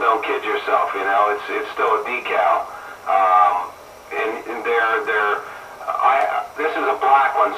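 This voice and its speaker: Man on a noisy, echoey radio link. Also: mid-range voice. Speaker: radio hobbyist. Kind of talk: casual chat over radio. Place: two-way radio, heard through a receiver. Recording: distant, roomy, noisy radio transmission.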